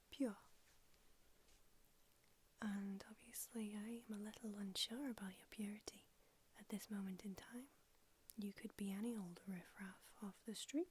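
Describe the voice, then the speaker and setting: A woman's voice: medium pitch, slight background noise, close to an everyday voice. A woman whispering in an ASMR roleplay, voicing a brusque, haughty old female vampire doctor with a dry manner.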